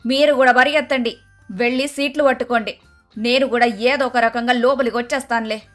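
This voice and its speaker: A high-pitched, brusque mother-in-law from a cartoon moral tale, played by a woman. She scolds and orders people about with emphasis. Faint music sits under the voice. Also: steady tone, fairly quick, a bit bright, close to an everyday voice. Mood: impatient, stubborn. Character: bossy scolding middle-aged Telugu mother-in-law. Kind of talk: dramatised moral story voice acting.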